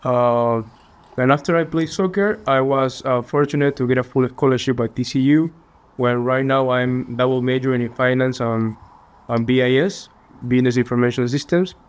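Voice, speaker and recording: A young man from Peru with a Peruvian Spanish accent, answering interview questions earnestly and a little haltingly. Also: medium pitch, steady tone, smooth. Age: young adult. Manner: earnest, polite, slightly halting phrasing. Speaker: finance student guest.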